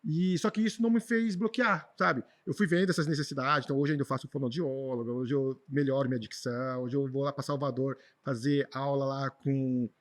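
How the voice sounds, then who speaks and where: A man's voice: mid-range voice, steady tone, a little fast, few pauses. A Brazilian man telling personal stories in an informal, emphatic way as a podcast guest, often repeating words for stress. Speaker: podcast guest, traveler and speaker. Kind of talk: conversational personal storytelling.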